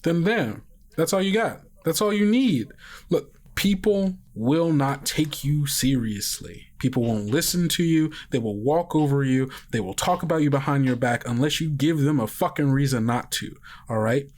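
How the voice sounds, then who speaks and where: A man voicing a gruff, protective, streetwise father who swears freely and goads in slang in a tabletop roleplay. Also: a little high, animated, normal speed, a little mellow. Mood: fierce, urging. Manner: profane, slangy, goading, emphatic.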